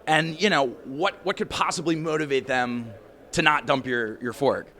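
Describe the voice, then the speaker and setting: A man interviewing a guest as a friendly skeptic, talking fast and swearing casually. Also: fairly high pitch, bright, forceful tone. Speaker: interviewer, startup founder.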